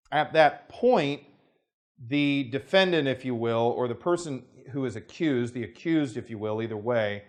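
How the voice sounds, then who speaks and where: American attorney explaining law, formal and measured. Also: male voice, mid-range voice. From United States. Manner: measured, formal legal phrasing with hedges and restarts. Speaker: attorney presenting a legal explainer. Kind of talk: unscripted talking-head explanation.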